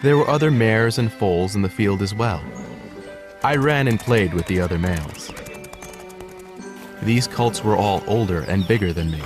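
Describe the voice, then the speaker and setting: Man voicing a male horse narrator, low pitch, earnest, measured, animated storybook, clear background music. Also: lightly acted. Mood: reflective. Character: grown male horse telling his life story, gentle.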